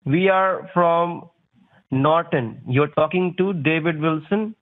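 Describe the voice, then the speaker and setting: A man's voice: on the high side, slow. A man on a phone call, speaking formally and insistently, calling the listener sir and pushing his instructions. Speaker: phone scammer. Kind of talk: scripted sales pitch on a phone call. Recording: tinny phone line.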